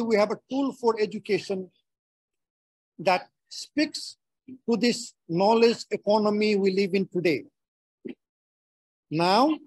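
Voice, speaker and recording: A middle-aged man from Nepal with a Nepali accent gives a prepared webinar talk in a measured, phrase-by-phrase delivery. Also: high-pitched, breathy edge, dry recording, poor audio. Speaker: webinar speaker.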